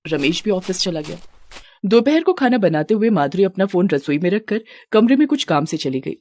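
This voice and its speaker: A woman's voice: medium pitch, very animated, normal speed, a little mellow. A woman reading a scripted story as its narrator.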